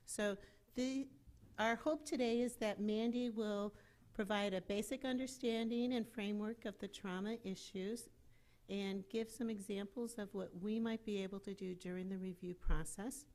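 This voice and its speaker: Woman, staff member, plain informal intro, mid-pitched voice. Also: fairly even intonation, normal speed, darkish tone. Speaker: program staff introducing the speaker. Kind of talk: introductory remarks. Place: meeting room with an audience.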